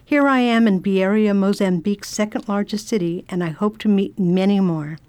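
A woman playing a self-assured, kindly lady traveller in radio theatre, speaking in a formal, deliberate and imperious way. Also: mid-range voice, darkish tone, clear, resonant tone, close to an everyday voice. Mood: reflective. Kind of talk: radio theatre acting and diary narration.

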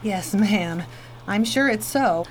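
An actress playing a young expectant wife, earnest and fretful with a whimsical streak, in a radio play. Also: female voice, mid-range voice, lively intonation, takes it slowly. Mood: polite. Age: young adult. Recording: faint background noise.

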